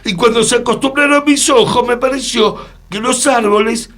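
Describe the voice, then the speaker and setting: An actor playing an earnest, mysterious storyteller in a radio play, pausing for dramatic effect. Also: male voice, extremely high pitch, a bit bright, slight background noise. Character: earnest, mysterious male storyteller recounting an enchantment. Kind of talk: radio theatre acting.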